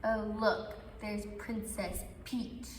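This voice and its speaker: A woman's voice: medium pitch, level tone, slow pace, far from the mic, lightly acted. A woman voicing a blunt, sassy, easily exasperated schoolgirl in a puppet comedy. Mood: snarky. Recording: echoey hall, faint noise.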